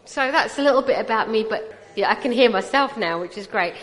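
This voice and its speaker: British lady in her 40s preaching at church, chatty and teasing, a bit high, long hall echo. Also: animated, fairly quick. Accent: British English. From Punjab, India. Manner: chatty, teasing, draws the audience in. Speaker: guest speaker at a church. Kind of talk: personal testimony sermon. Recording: long reverb of a large hall.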